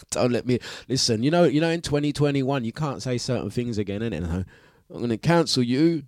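A man with an East London accent hosting a radio breakfast show, giving casual shout-outs and jokey, self-mocking banter, laughing often. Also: mid-range voice, expressive, moderate pace, no room sound, studio quality. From England. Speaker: radio DJ host. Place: radio studio. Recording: music playing under the voice. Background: music bed.

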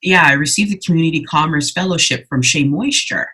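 A woman's voice: sits low, brisk, bright, forceful tone, husky. A woman in her forties, born in Ghana and raised in Canada, talking animatedly about her business in a casual interview, with lots of "you know" and "right?". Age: 40s. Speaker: entrepreneur guest.

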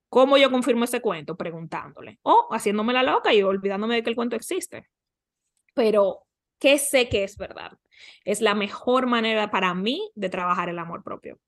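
A woman's voice: fairly high pitch, bright, forceful tone. A woman speaking as a podcast guest and coach, giving conversational advice and explaining through questions and examples.

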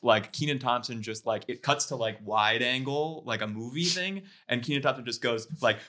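A man with an average-pitched voice chats casually on a comedy podcast. He rambles as he retells things, with animated delivery. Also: normal speed. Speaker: podcast table-read participant.

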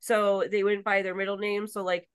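A woman's voice: mid-range voice, little pitch movement, normal speed, clean tone, no echo. A woman guest on a podcast, telling a personal story in casual chat and often saying "like". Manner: storytelling, with frequent "like".

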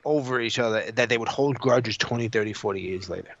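A man's voice: medium pitch, brisk, gravelly. Dull-sounding New man, chatting animatedly. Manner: animated retelling, repeats himself, acts out quotes. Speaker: podcast co-host. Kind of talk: casual opinionated panel chat. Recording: dull lo-fi audio.

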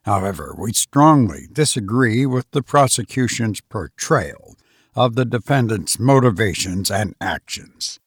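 Man reading a low, earnest middle-aged defense attorney. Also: expressive, breathy edge, no echo, studio quality. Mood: earnest, measured. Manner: earnest, formal, measured.

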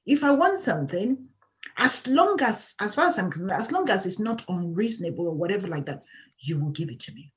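A woman's voice: medium pitch, big pitch swings, fairly quick. A woman guest in an interview, talking candidly as a patient advocate in an emphatic, outspoken way and laughing often.

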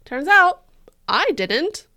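A woman's voice: high voice, big pitch swings, takes it slowly, pushed and bright. Young woman podcast host, goofy and chatty, says like a lot. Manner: bubbly, joking, heavy use of "like". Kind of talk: casual true crime podcast chat.

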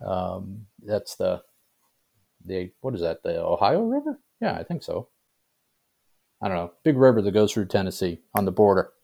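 Middle-aged guy with a lowish voice, author chatting on a podcast, keeps checking you're following. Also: sing-song, moderate pace, creaky, pauses often, mellow. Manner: conversational, often tags sentences with "right?". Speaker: fiction author being interviewed. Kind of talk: casual interview chat.

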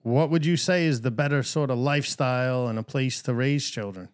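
A man's voice: medium pitch, brisk, no echo, clean studio sound. A man co-hosting a podcast, asking a guest questions in a casual, playful way. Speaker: podcast co-host. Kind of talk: casual interview chat.

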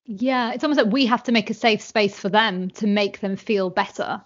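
An animated young woman chats as a podcast guest and keeps dropping "like" into her sentences. Her voice sits at a middle pitch, and the recording sounds slightly muffled. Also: moderate pace. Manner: animated, chatty, many fillers and "like"s. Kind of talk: casual conversation.

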